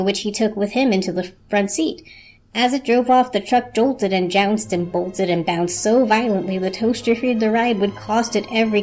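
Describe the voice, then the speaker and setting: A woman reading scripted story narration as a narrator, in a measured, literary storytelling manner. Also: medium pitch, darkish tone.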